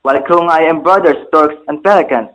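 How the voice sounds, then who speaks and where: A man's voice: on the high side, fairly quick, fairly bright tone, close to an everyday voice. A man playing a stern, anxious bell-maker father in a formal, declamatory stage drama. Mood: scolding. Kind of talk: amateur stage-play dialogue, formal archaic English. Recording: muffled, phone-like, echoey room.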